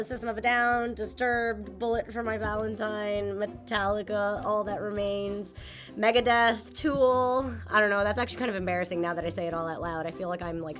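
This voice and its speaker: A woman's voice: a little high, fairly bright tone. A young woman from Japan, a native Japanese speaker, chatting upbeat in English as she answers viewer questions in a casual vlog. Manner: chatty, upbeat, with small laughs and fillers.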